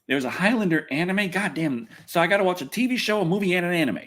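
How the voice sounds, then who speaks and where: A man with a somewhat high-set voice hosts a casual livestream. His delivery is very animated in pitch, swinging widely as he goes. He talks in a loose, rambling, unpolished way, happy to swear as he chats with viewers. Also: quick pace. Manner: rambling, loose, expletive-laden. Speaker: livestream host.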